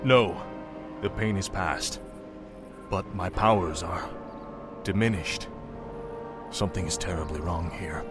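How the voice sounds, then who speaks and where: Low-voiced, cold undead king. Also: takes it slowly, pauses often. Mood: grim, resolute. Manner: commanding, menacing, formal. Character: cold, arrogant undead warrior king, young man. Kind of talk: video game cutscene acting. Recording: music behind the voice.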